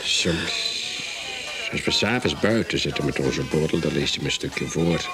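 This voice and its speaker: A pushy eccentric, music behind. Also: male voice, extremely low pitch, expressive, normal speed, rough recording. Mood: dreamy, musing. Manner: bossy, insistent, drifting into dreamy musing. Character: pushy, eccentric, rambling local man, overfamiliar with a visitor. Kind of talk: radio play acting.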